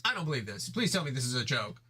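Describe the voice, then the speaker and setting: A furious young troll who hurls crude insults, as a man voices him. The voice is forceful and tense. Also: mid-range voice, big pitch swings, quick pace, breathy edge, exaggerated voice. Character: angry foul-mouthed young male troll.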